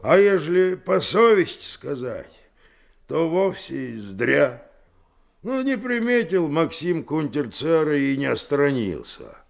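A creaky, talkative convict. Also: male voice, a little high, very animated, slow pace, full character voice. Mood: indignant, aggrieved. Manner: folksy, rambling peasant speech, rhetorical questions. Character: talkative kindly convict in Tsarist Russia. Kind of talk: Soviet radio drama acting.

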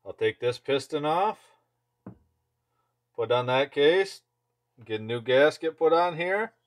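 A man's voice: fairly high pitch, lively intonation, slow pace. A man giving a casual hands-on workshop demonstration as a mechanic, speaking offhandedly in short phrases while he works. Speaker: chainsaw mechanic and video host. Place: indoor workshop bench. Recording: clear background noise, clicking tool handling.

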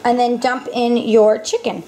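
A young woman casually walking through a home recipe at her stove, talking with lots of ums and quick self-corrections. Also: a little high, little pitch movement, warm tone, a little hiss or hum. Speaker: cooking vlogger. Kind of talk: casual cooking demonstration. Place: home kitchen. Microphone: camera mic, filming over the stove. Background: clinking pots and utensils.

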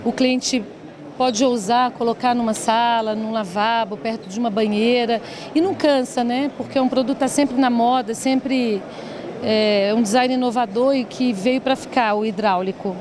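A Brazilian woman in her forties speaks in a slightly high voice as an interviewee. She talks like a designer presenting her company at a trade fair. A clear crowd bustle sits behind her. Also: fairly even intonation, moderate pace. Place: open stand in a large indoor exhibition hall. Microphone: handheld reporter microphone held by interviewer. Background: crowd chatter of a trade fair.

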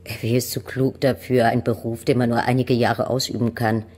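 An actress playing a prim, regretful middle-aged mother in a radio drama, measured and rueful, with a husky, creaky voice. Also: extremely low pitch, flat delivery, a little mellow. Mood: polite, guarded. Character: regretful, proper older mother of a married daughter. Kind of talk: German radio drama acting.

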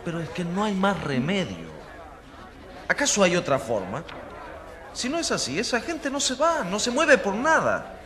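An actor playing an indignant, scornful journalist in a radio drama, emphatic and opinionated. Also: male voice, high-pitched, very animated, normal speed, crowd noise. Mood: insistent. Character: indignant, scornful male journalist backing harsh measures. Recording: long reverberant hall.

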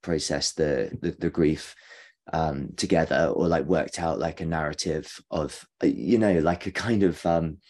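A man's voice: rumbling low, darkish tone. A man in his thirties speaking casually and hesitantly as he reflects during a video call. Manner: halting, hesitant. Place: home kitchen indoors.

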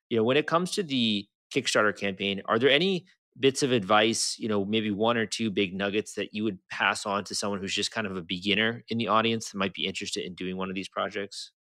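A man's voice: on the low side, bright, forceful tone. A man hosting a podcast, casually interviewing a guest and summing up answers.

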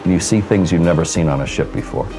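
Brief: very low man from Seattle, hotel director, proud explainer, music behind. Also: quick pace. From Seattle, United States. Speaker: hotel director on a cruise ship. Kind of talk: documentary interview.

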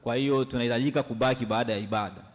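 A young male pastor interprets church announcements at a quick clip, his mid-range voice carrying fluently through a large sanctuary. A long reverb trails each phrase from the stand mic. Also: mid-range voice, fairly even intonation. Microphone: stand microphone at a lectern.

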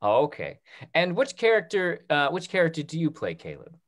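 A man in his thirties moderating a talk-back on a video call in a casual, halting way, often repeating his words. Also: a little high, huge pitch swings. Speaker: host of a theatre talk-back.

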